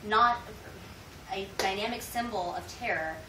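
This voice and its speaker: An American woman delivers a prepared informative speech in a formal presenting manner. Her voice has an ordinary middle pitch. She is recorded from a distance in an echoey room, with clear noise over the recording. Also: measured pace. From United States. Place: indoor room, speaking to an audience.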